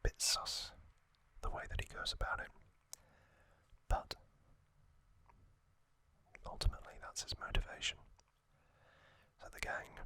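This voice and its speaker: An ASMR host speaking in a breathy, unvoiced whisper, giving a hesitant, off-the-cuff recap. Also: unhurried, pauses often, sharp tone.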